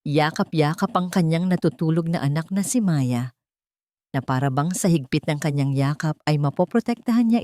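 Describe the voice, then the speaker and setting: A woman narrates a scripted story in a fairly low voice. Her delivery is measured and dramatic. The sound is very dry, with no room around it. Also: dark tone, dry recording.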